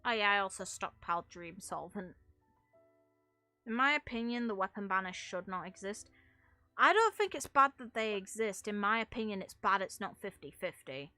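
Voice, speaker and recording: Woman streamer rambling casually about a game, really bright crisp voice, close dry sound, music behind. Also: mid-range voice, animated, moderate pace, no room sound. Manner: rambling, frank, casually sweary. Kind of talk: casual gaming commentary.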